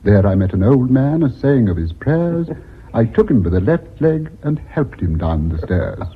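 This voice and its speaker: A male actor playing a cheerful, eccentric old designer with a husky, theatrical voice. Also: mid-range voice, big pitch swings, normal speed. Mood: theatrical reciting. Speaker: actor in a radio play. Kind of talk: British radio drama acting. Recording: thin, phone-like radio recording.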